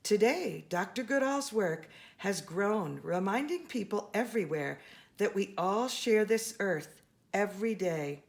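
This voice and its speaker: Woman reading a children's book, lively intonation. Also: medium pitch, unhurried, gravelly. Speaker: storybook reader. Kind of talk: expressive read-aloud of a children's picture book.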